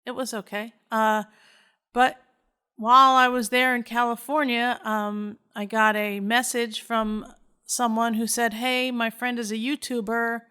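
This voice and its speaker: A middle-aged woman hosts a podcast on her own, talking at an unhurried pace. Her voice is bright and clean, and she rambles casually with plenty of fillers. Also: on the high side, little pitch movement, clear, resonant tone. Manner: chatty, rambling, many fillers. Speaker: podcast host. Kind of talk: casual solo podcast chat.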